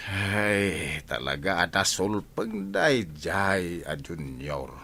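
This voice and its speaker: An exasperated Ilocano grandfather from a village radio comedy, acted by a man. His voice is fairly low and bright, with lively rises and falls as he finds fault with stubborn kin. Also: slow, gravelly, exaggerated voice. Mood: grumbling.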